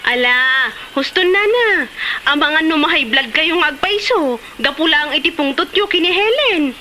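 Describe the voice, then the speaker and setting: A woman's voice: high-pitched, expressive, normal speed. A woman playing a talkative, gossipy village neighbour in a comedy drama, speaking with an Ilocano accent. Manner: exclamatory, gossipy. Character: talkative village woman siding with an offended elder. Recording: slight background noise.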